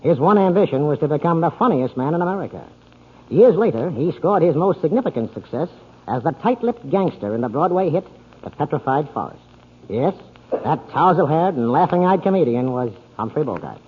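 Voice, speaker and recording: A man's voice: fairly high pitch, breathy edge. A man hosting and narrating a 1940s radio drama in a polished, theatrical announcer style. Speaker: radio show host and narrator. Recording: tinny 1940s radio broadcast recording.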